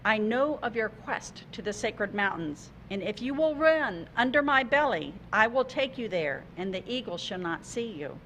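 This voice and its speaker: A woman voicing a great, noble male buffalo who is sick and dying, speaking gravely over a video call. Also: fairly high pitch, sing-song, normal speed, few pauses, a bit bright, a little hiss or hum, low-quality recording. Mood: grateful.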